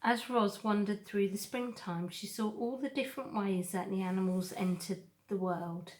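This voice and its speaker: A woman narrating a children's novel aloud, with measured and expressive storytelling. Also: mid-range voice. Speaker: storybook reader.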